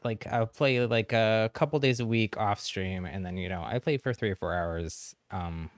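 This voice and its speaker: A man's voice: on the low side, moderate pace, no room sound, clean studio sound. A man hosting a livestream, chatting casually with viewers in a friendly, easygoing way. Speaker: game streamer, host.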